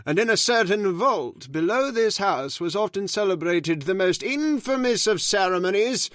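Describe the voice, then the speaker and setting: A man playing a solemn caretaker who slowly tells a dark family legend in an old-time radio drama. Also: sits high, lively intonation, no room sound, clean studio sound. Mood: grave. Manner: slow, ominous, measured delivery. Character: grave, solemn male storyteller of the house. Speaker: Mister Roberts, old manor caretaker telling the legend.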